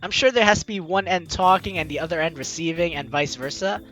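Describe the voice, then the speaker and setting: A woman's voice: on the low side, brisk, a bit bright, soft background music. A woman hosting a game playthrough, reading the narration aloud expressively with playful, giggly commentary. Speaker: gaming video host, player reading aloud.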